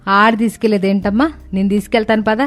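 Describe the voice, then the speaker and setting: A woman playing a doting, bustling older village mother-in-law with rustic colloquial speech in an animated story. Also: medium pitch, fast talker, natural voice. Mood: supportive. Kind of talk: animated moral-story voice acting. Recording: music bed under the voice.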